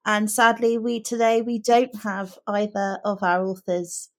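A woman's voice: medium pitch, steady tone, measured pace. A woman co-hosting a casual book chat, speaking in articulate, considered phrases. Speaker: podcast co-host.

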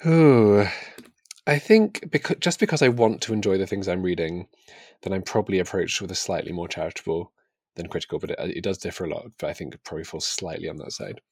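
A man's voice: low-pitched, fast talker, slightly rough, no echo, studio quality. A man with a British accent co-hosting a podcast, chatting casually and self-deprecatingly about books. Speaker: podcast co-host. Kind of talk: casual book discussion.

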